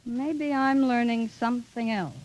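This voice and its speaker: High-voiced, headstrong young drama pupil played by an actress. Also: female voice, steady tone, measured pace, darkish tone, natural voice, rough recording. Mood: eager, probing. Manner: theatrical, emphatic. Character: eager, headstrong young female acting pupil. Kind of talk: old film or radio drama acting.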